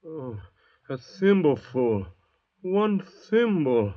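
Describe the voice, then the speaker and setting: Surly, slow-talking young convict. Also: male voice, fairly high pitch, sing-song, exaggerated voice. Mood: defiant, resentful. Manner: blunt, sneering, demanding. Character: surly, brutish, distrustful young convict, defiant and hostile. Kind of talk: old-time radio drama acting. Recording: dull vintage radio recording.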